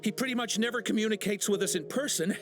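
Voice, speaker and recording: A man's voice: high voice, quick pace, music in the background, natural voice. An actor playing a nervous, cowardly captured smuggler who stammers and fills his answers with uh in a game cutscene. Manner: stammering, full of uh and nervous restarts.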